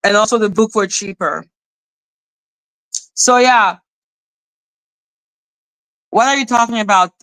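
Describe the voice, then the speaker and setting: Bright-voiced woman ranting. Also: mid-range voice, slow, pauses often, no room sound, low-quality recording. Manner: sarcastic, exasperated, rambling. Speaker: livestream host. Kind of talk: ranting live-stream commentary.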